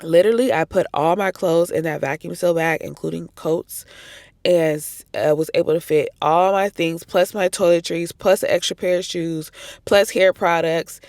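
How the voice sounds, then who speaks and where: An American woman talks to her viewers like a travel vlogger, casual and emphatic. Her voice is raspy and congested-hoarse, with a gravelly creak running through it. The recording is dry, with little room sound. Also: on the low side, fairly even intonation, normal speed, darkish tone, no room sound. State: hoarse from recent congestion and cough. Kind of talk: casual chatty trip recap to viewers.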